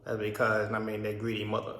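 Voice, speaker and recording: A man's voice: fairly low pitch, monotone, quick pace, fairly bright tone. A man in his thirties from Barbados, raised in the US, telling a personal story in a rambling vlog with many restarts. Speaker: vlogger. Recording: echoey room.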